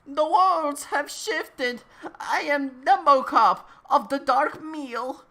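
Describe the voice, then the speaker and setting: A woman's voice: high voice, slow, sharp tone, voice pushed up, exaggerated voice. A woman voicing a bombastic, raving male robot cop villain in a grandiose, declamatory manner, for a comic story. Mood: grandiose, defiant.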